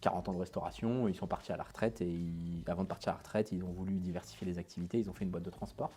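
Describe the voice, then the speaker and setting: A man from Paris, a company boss, recounts his path in a casual chat. He talks quickly in a low voice, modestly, with frequent hesitations and fillers. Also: steady tone, dark tone, a little hiss or hum. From Paris, France. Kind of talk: casual interview, telling his life story.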